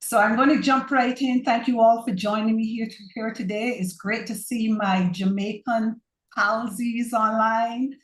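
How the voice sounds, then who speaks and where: A woman's voice: a little high, steady tone. A woman from Barbados with a Barbadian accent, giving an earnest, personal online presentation on governance. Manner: earnest, personal, measured speaker. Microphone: video call. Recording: roomy echo on a video call.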